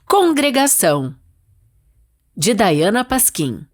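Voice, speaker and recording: A woman with a Brazilian accent reads her own short story as a dramatized literary narration, with deliberate, expressive phrasing. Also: mid-range voice.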